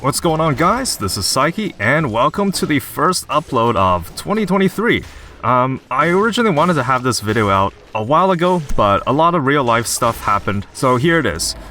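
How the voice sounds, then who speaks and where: Young man, slightly hoarse, lively bright delivery, casual gameplay commentary over faint game music. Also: medium pitch, moderate pace. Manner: casual, chatty, explanatory. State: slightly hoarse from recent illness. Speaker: gaming YouTuber.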